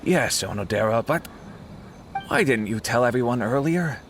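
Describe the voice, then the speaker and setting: Brief: a steady team leader, animated intonation, directive, game dialogue. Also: male voice, medium pitch, normal speed, a little hiss or hum. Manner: calm, directive. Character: adult male publishing editor, steady leader. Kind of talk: video game voice acting.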